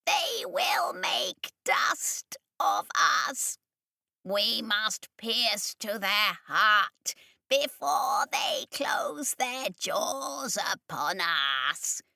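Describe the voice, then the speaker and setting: A woman voicing a vast, obsessive alien entity that speaks slowly and commandingly, its voice thundering from the walls. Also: very animated, gravelly, pushed and bright, no room sound, clean studio sound, exaggerated voice. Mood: desperate. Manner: slow, booming, commanding.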